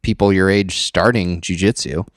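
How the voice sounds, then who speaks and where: Guy with a low voice, easygoing agreeable podcast chat, dry sound. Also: steady tone, moderate pace, no echo. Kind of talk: casual chat.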